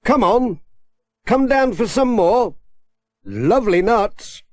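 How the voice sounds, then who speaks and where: A man's voice: sits high, lively intonation, slow pace, no room sound, voice pushed up. A man voicing a friendly, helpful village postman in an emphatic way for a children's audiobook. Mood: coaxing. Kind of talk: children's audiobook character acting.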